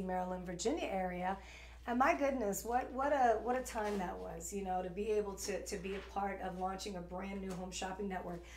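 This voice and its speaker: A woman's voice: mid-range voice, echoey room. A woman in her forties from New York with an East Coast American accent, hosting a live shopping stream in a chatty, rambling way with lots of fillers. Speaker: shopping channel host.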